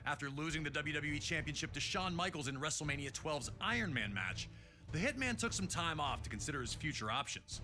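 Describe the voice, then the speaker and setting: A man's voice: a little high, expressive, fairly quick, sharp tone. A man narrating wrestling history in a dramatic, hyped announcer style, reading from a script. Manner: emphatic, hyped announcer delivery. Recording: music bed under the voice. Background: music.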